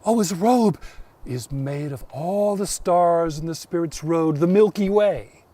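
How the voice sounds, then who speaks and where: A man's voice: a little high, unhurried, dark tone, recorded from a distance. A man voicing a humble, kind young outcast woman who speaks with earnest awe in a told folk tale. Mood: reverent wonder. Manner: awed, earnest.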